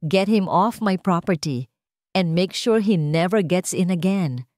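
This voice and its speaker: A woman narrator acts out a wealthy man in a romance audio drama. He is icy, controlled and commanding. His words come out clipped and dangerously calm, in a very dry recording. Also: fairly low pitch, measured pace, no room sound. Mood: cold command. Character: cold, commanding wealthy man, protective and suspicious.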